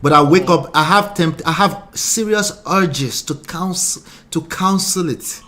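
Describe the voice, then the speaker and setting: Brief: middle-aged Nigerian-American-accented man, somewhat high voice, laughy relationship coach. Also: unhurried. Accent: Nigerian, mixed with American. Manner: animated, laughs often, repeats words. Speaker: relationship coach and show host. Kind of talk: casual podcast chat.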